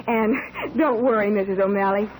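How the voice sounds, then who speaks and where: A woman's voice: mid-range voice, normal speed, natural voice. An actress playing a courteous, eager new lodger in her twenties in a 1940s radio drama. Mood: cheerful, eager. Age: 20s. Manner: bright-eyed, courteous. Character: polite, eager young career girl, new lodger. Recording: slightly noisy old radio recording.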